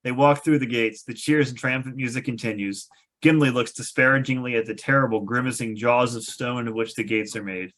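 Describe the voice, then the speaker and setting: A man's voice: medium pitch, fairly even intonation, fairly quick, fairly bright tone. Guy narrating a script table read, wry, kinda amused, reads stage directions w little asides.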